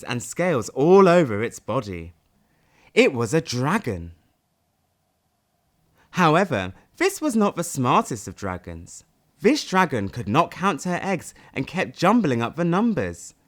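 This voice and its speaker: A man reading a children's audiobook as narrator, with dramatic, expressive delivery. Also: mid-range voice. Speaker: audiobook reader.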